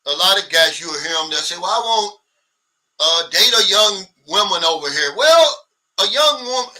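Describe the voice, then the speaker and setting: A blunt, animated man in his sixties chats on a livestream, reading out viewer comments and answering them. His voice is high and very bright, a little off the mic. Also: moderate pace, recorded from a distance, rough recording. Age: 60s. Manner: blunt, animated, mimics others' complaints. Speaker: livestream host.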